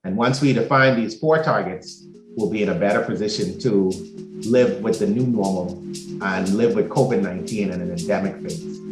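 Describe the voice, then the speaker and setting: A man from the Bahamas speaks in a low, steady voice, laying out his points one by one in a measured way. The recording carries a noticeable roomy echo. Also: music in the background. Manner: measured, methodical, lists points in order. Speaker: doctor. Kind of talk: prepared explanatory talk.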